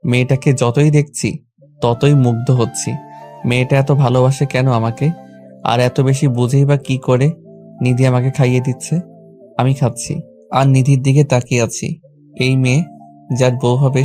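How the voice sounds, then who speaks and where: Male narrator, Bangladeshi accent, mellow and level, first-person love story over soft music. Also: medium pitch, level tone, moderate pace, pauses often. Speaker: narrator and lead character of a romantic audio story.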